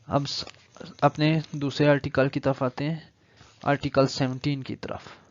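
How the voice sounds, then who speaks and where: A man's voice: medium pitch, flat delivery, measured pace, highs cut off. A man with a Pakistani accent giving an explanatory law lecture, mixing in English legal terms and reading passages aloud before paraphrasing them.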